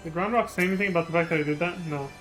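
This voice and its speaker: A man with a fairly high voice streams a game in a casual, playful way, cracking jokes between lines of dialogue. Soft music plays faintly behind him. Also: racing. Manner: playful, jokey asides. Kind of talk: casual game commentary, reading dialogue aloud.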